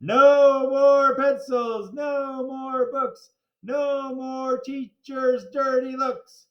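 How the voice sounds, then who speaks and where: A man voicing a mocking, sing-song schoolboy from a children's story in an exaggerated, drawn-out way. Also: very high voice, little pitch movement, lo-fi sound, pitched above the performer's own voice, heavily acted. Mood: gleeful teasing. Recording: roomy, echoing room.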